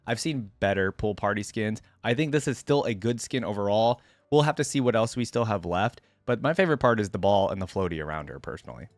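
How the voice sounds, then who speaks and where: A man's voice: on the low side, lively intonation, fast, hardly any pauses, no room sound. A man hosting a reaction video, commenting casually and conversationally on game skins as he thinks aloud.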